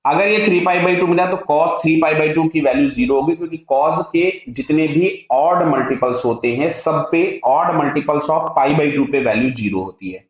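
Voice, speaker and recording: A man's voice: fairly high pitch, normal speed, few pauses. A man with an Indian accent teaching physics step by step, asking short check questions and answering them himself. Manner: mixes Hindi with English technical terms. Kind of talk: step-by-step lesson, working through a problem aloud. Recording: very echoey room, dull muffled sound.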